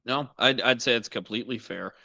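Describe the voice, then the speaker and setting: A man with a bright, forceful tone breaks down sports in casual conversation, often chiming in to agree. His voice sits in a middle range and is recorded dry and close. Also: mid-range voice, brisk, vocal fry, dry recording. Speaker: co-host.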